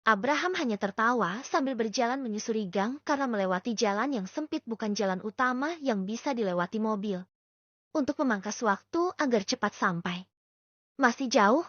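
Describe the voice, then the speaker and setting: High-voiced woman narrating a story. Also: expressive, brisk, fairly bright tone, dry recording. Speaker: audiobook narrator. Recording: dull lo-fi dry recording.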